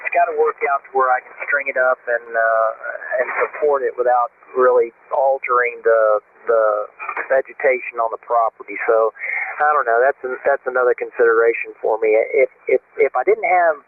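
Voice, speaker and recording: A man chatting casually over amateur radio in a folksy, deliberate way, repeating words as he goes. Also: mid-range voice. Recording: noisy narrowband shortwave radio transmission. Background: radio static.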